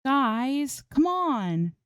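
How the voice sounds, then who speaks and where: A woman's voice: high-pitched, big pitch swings, drawn-out words, clean tone, no room sound, clean studio sound, voice pushed up, full character voice. A woman voicing a bubbly, playful young gamer and pop idol in a story reading, with chirpy, whiny banter. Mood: mock complaining. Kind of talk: storybook character dialogue in audiobook reading.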